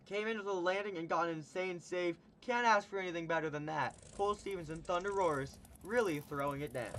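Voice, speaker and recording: A man announcing a monster truck show in the style of an arena announcer, stretching out his hype calls, and he is losing his voice. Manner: booming, drawn-out hype calls. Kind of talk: hyped arena announcer commentary.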